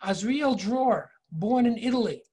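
An older man in his sixties leading a virtual tour lecture from home over a video call, measured and explanatory, reading inscriptions slowly. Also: very high voice, expressive, darkish tone, husky. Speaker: tour guide and educator. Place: home office, indoors. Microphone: video call webcam.

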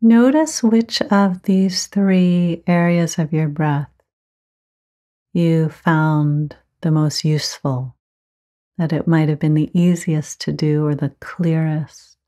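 A woman teaching guided meditation, giving gentle, measured instructions with frequent pauses. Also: low voice, fairly even intonation.

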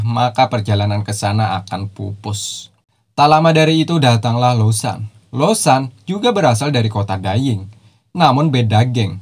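A man's voice: medium pitch, a little mellow. Jokey man narrating a recap. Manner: jokey, teasing asides with slang and laughs. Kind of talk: casual slangy anime recap narration. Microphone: voice-over.

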